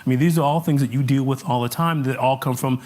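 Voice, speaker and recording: A man interviewing a guest in a casual conversation, asking animated, searching questions. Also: medium pitch, fast talker. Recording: noisy room background.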